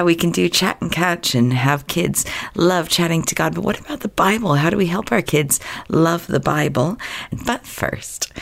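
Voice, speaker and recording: Sick woman with a deep gravelly voice. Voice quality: husky low voice. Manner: chatty, laughs, restarts words. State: sick, voice deeper than usual. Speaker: podcast host. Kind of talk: casual podcast talk.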